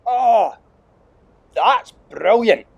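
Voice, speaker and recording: A man voicing a loud, overbearing Viking drill instructor, bellowing and pompous with heavy sarcasm, in an audiobook. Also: high voice, expressive, extremely slow, vocal fry, slightly rough, muffled recording, pitched above the performer's own voice, heavily acted. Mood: sarcastic outrage.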